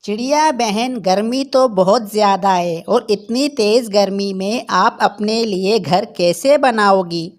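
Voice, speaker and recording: Slow-talking, loyal pigeon voiced by a woman. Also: mid-range voice, lightly acted. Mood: friendly. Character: loyal, protective male bird friend, a pigeon. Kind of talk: Hindi moral-story cartoon dubbing.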